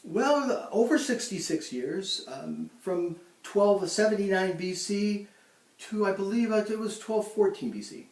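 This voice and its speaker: A man playing a halting, proud pharaoh. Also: high voice, lively intonation. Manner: hesitant, halting with many ums and restarts. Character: ancient Egyptian pharaoh, adult man, proud. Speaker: guest in a mock interview. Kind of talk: amateur school-style role-play interview. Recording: echoey room, voice from a distance.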